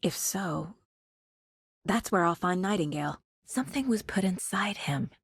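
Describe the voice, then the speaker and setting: An actress playing a determined woman detective in a video game, speaking in a serious, focused, terse way with a soft, husky voice. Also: lowish voice, lively intonation, measured pace, no room sound, clean studio sound, exaggerated voice. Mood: hushed, uneasy. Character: determined woman detective investigating supernatural cult murders. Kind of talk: video game character lines, investigative inner monologue.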